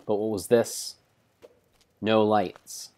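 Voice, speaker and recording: A man narrating video game play as a solemn, theatrical storybook tale, in measured phrases. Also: lowish voice, fairly even intonation, darkish tone. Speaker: streamer narrating own gameplay.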